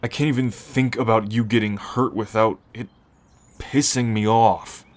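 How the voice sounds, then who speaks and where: A man playing a gruff, brooding teacher who is turning into a werewolf, halting and protective, in an ASMR roleplay. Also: on the low side, steady tone, lightly acted. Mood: worried, tender. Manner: halting, sighing, sardonic.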